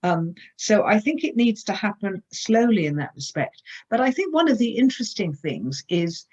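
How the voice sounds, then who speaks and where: A woman's voice: medium pitch, big pitch swings, moderate pace, darkish tone, muffled recording. A woman speaking as an author in a virtual panel discussion, earnest and hesitant, with many fillers.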